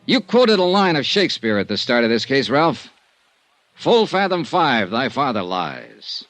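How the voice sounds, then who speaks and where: A man's voice: medium pitch, sing-song, unhurried, a bit bright, no room sound. An actor playing a hard-boiled male insurance investigator in a 1950s radio drama, talking in a clipped, sardonic way. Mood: wry report.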